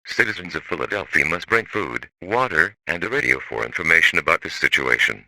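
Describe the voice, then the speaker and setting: Male alert announcer, scripted broadcast read, hard bright tone with animated inflection. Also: rumbling low, fairly quick, no echo, low-quality recording.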